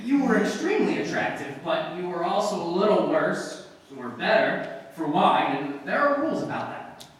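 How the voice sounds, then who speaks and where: A man acting an earnest, gallant young gentleman in an emphatic 1940s radio drama style. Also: high voice, very animated, normal speed. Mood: frank. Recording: echoey hall, distant mics, faint noise.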